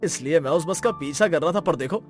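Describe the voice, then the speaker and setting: A sneaky young husband with three secret wives in a cartoon story, as a performer voices him, talking his way out of trouble. His voice sits at a middling pitch but swings up and down a lot. Soft music plays behind. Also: animated, a little fast, lightly acted. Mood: evasive. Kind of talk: cartoon voice acting.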